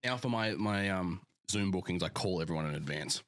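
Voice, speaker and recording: Australian man, very low voice, dry close sound, laid-back sweary podcast chat. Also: brisk, fairly bright tone, dry recording. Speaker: physique coach, podcast co-host.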